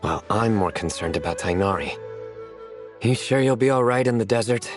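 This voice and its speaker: A man voicing a laid-back young man fond of puns in a video game, dry, teasing and deadpan. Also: lowish voice, moderate pace, close to an everyday voice. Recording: music playing behind the voice.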